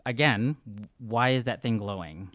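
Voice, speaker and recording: A man's voice: fairly low pitch, normal speed, a bit bright, dry recording, clean studio sound. A young man playing a tabletop game in a casual, hesitant, understated way on a podcast microphone. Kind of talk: casual in-character role-play. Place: small indoor studio room. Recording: faint background room noise.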